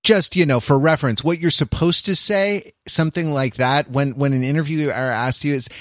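A man hosting a casual discussion podcast, talking in a halting, wry way with touches of mock formality. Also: a little high, a bit bright, no echo, studio quality. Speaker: podcast host. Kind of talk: casual chat, moderating a discussion.

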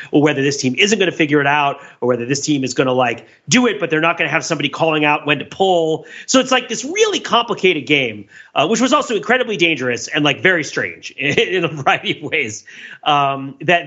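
Bright-voiced man chatting on a podcast. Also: on the high side, breathy edge, highs cut off. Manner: rambling, self-correcting, animated explainer. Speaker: podcast host. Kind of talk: casual podcast discussion, recounting a TV show.

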